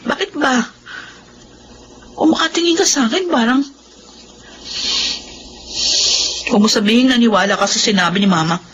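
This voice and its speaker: A woman's voice: fairly high pitch, quick pace, a bit bright, slight background noise, lightly acted, low-quality recording. A woman acting as a practical, guarded middle-aged widowed mother in a Filipino drama. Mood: defensive. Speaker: actress in a Tagalog drama.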